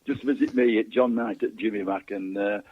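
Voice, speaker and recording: A man's voice: lowish voice, fairly even intonation, fairly quick, breathy edge, no room sound. A man speaking as a guest author in a casual interview, hesitant and stammering over repeated words, on a thin phone line.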